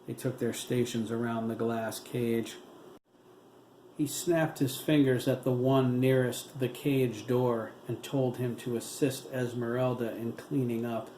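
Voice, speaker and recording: A man reading a novel aloud as its narrator, at a slow, steady, even pace. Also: medium pitch. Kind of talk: audiobook narration. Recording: roomy room with steady hiss.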